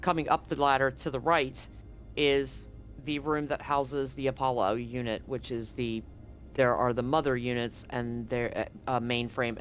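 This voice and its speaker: A man's voice: mid-range voice, a bit bright. Man running a tabletop game. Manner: rambling, self-correcting descriptions. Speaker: game master.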